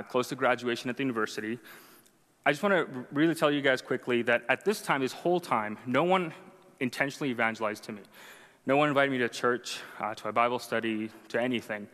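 A man's voice: medium pitch, fairly bright tone. Man, Arabic as first language, young adult, personal testimony, conversational asides, reverberant hall. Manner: conversational, self-deprecating, frequent "right?" asides. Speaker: speaker sharing a conversion testimony.

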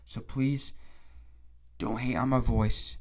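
Congested, hoarse man hosting a sports podcast. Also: mid-range voice, fairly even intonation, slow. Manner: rambling, restarts often. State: congested, stuffy nose; hoarse, raspy throat. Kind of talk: casual solo podcast talk.

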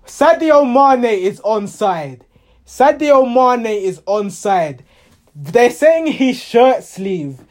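A man with a hoarse voice recapping a football match as a passionate, exasperated fan, often repeating himself. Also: very high-pitched, sing-song, very slow.

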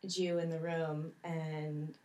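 A woman guest on a podcast with a slightly low voice. She tells her stories in a chatty, self-deprecating way. It is recorded on a studio microphone. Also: level tone, slow, a crowd in the background. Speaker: actress guest. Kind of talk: casual interview chat.

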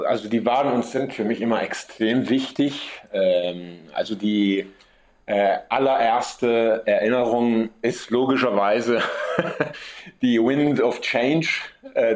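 A man with an Italian accent, a guest musician answering interview questions casually, pausing often with fillers and restarts. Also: mid-range voice, darkish tone. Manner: hesitant, many fillers and restarts.

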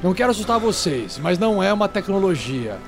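A man playing an old, wary vampire hunter, commanding and emphatic, with halting speech. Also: high-pitched, very animated, over music.

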